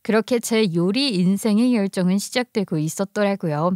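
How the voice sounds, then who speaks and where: A woman's voice: mid-range voice, normal speed, a little mellow, dry recording, studio quality. A woman narrating a scripted first-person story.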